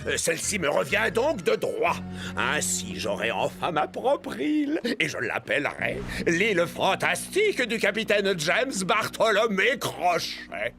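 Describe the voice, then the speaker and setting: Cartoon pirate villain: grandiose and greedy, high gravelly voice, music behind. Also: male voice, sing-song, a little slow, full character voice. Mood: gloating. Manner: pompous, grandiose. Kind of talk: cartoon dub acting.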